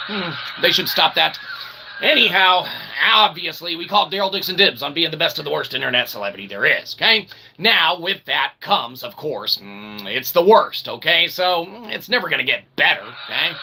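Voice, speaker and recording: Animated higher-pitched man, mock-grand show host. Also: creaky, a little hiss or hum, poor audio. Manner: mock-grand showman, repeats "okay" as a tag. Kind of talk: rambling comedic monologue to livestream audience.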